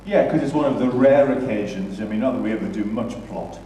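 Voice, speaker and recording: British guy hosting a panto chat, medium pitch, chummy, far from the mic in a big echoey hall. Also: a little fast, warm tone. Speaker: pantomime director hosting an interview. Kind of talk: informal live interview. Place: large echoey room at a theatre launch event. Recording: very echoey hall, distant mic, faint noise.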